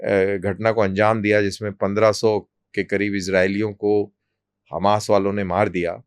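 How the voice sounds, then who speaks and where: A man speaking as an interview guest, explaining in measured, deliberate short phrases with pauses. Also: fairly low pitch, flat delivery, smooth, dry recording. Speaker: army colonel, interview guest.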